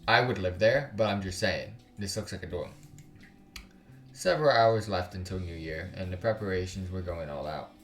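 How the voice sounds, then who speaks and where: A man doing casual let's play commentary and reading game text aloud in a halting way, with exasperated, slangy, sweary asides. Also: low-pitched. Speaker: gaming YouTuber, let's play host. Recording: roomy sound with music underneath.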